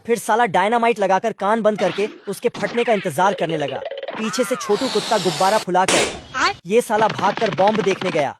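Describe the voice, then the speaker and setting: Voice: medium pitch, expressive, moderate pace, noisy background. A narrator giving a comic, slangy scripted recap of a cartoon. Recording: loud music under the voice.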